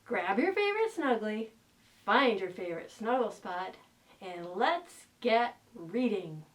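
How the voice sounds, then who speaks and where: A woman's voice: mid-range voice, sing-song, a little slow. A woman reading a bedtime story to young children with exaggerated, sing-song emphasis. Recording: roomy, distant microphone.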